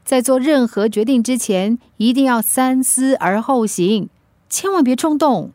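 A woman's voice: a little high, measured pace, darkish tone, no echo, natural voice. A woman playing a sensible, concerned confidante in a radio drama, counselling earnestly and firmly. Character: sensible, concerned woman giving firm advice.

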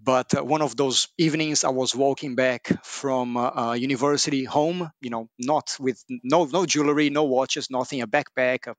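A man co-hosting a podcast, speaking conversationally with lots of hesitations and restarts. His voice is mid-pitched and sounds close and dry, with no room around it. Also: steady tone, moderate pace, hardly any pauses, no room sound. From Brazil. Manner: conversational, many fillers and restarts. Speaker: podcast co-host. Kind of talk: podcast interview.

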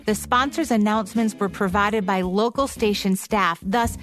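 A woman's voice: medium pitch, normal speed, faint music behind. A woman with an American accent reading scripted narration as a podcast co-host. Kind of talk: scripted narration about old-time radio history.

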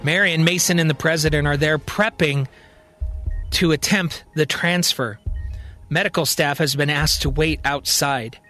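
A man's voice: fairly high pitch, measured pace, bright, forceful tone. A man narrating a scripted science-fiction story in a dramatic, present-tense storytelling style. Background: music.

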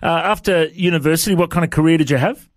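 A man's voice: fairly high pitch, quick pace, no room sound. Friendly man hosting a radio interview. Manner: friendly, informal, with frequent fillers. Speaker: radio show host. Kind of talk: conversational interview.

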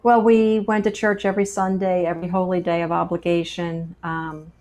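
A woman's voice: on the low side, flat delivery, moderate pace, a little mellow. A woman from Pennsylvania, a guest on a faith show, telling her life story in an earnest, anecdotal way and often restarting her sentences. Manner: earnest, anecdotal, repeats words as she restarts. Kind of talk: personal testimony in conversation.

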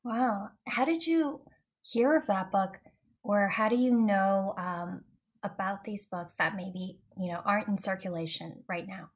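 A woman's voice: mid-range voice, unhurried, slightly rough. A woman hosting an interview, introducing her guest and asking questions in an upbeat, enthusiastic way. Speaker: host.

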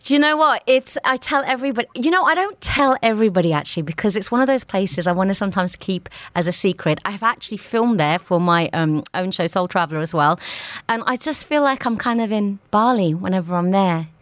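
A woman's voice: medium pitch, animated, fairly quick, no room sound. A woman hosting a radio talk show, chatty and enthusiastic as she talks with her guests. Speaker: radio host. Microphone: studio microphone.

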